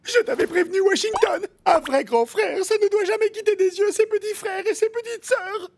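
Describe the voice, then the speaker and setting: A woman voicing an excitable, blustering wild boar big brother in a French cartoon dub. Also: very high-pitched, moderate pace. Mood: boastful. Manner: excitable, exclamatory.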